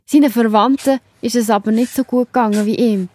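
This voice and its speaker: A woman's voice: on the high side, steady tone, a little fast, dark tone. A woman with a Swiss accent narrating a children's story in a chatty way, speaking directly to her listeners.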